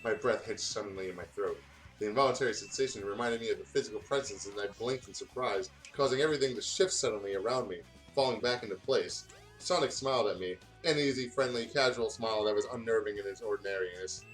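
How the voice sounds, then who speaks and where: A man's voice: on the low side, fast, a bit bright, poor audio. A man reads a story aloud in a casual voice with music behind him. He trips over words now and then and slips into joking asides. His talk is loose and sweary. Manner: stumbles over words, swears, breaks into banter. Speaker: guest reader on a gaming video.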